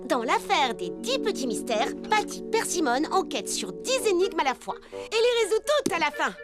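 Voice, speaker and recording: High-pitched theatrical girl detective. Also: big pitch swings, moderate pace. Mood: self-assured, inquisitive. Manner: pompous, dramatic sleuthing delivery. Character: bookish amateur girl detective, theatrical and self-assured. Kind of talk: children's cartoon dub acting. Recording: music behind the voice.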